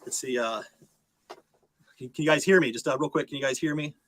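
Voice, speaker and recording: A man's voice: medium pitch, a little fast, fairly bright tone, slight background noise. A man speaking casually and unscripted to live stream viewers, hesitant, polite and plain-spoken.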